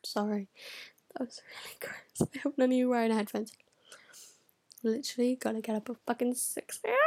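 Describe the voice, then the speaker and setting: A teenage girl chatting straight to camera in a rambling, scattered way. Her voice sits a little high, and she swears freely and often drops into a whisper mid-thought. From United Kingdom. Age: teens. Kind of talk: casual vlog chat.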